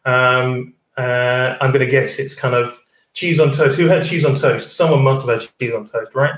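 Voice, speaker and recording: Male host, mid-pitch, rambling and casual, distant roomy webinar sound. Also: steady tone, moderate pace, a bit bright. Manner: rambling, lots of fillers and "kind of". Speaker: stand-in host. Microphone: video call.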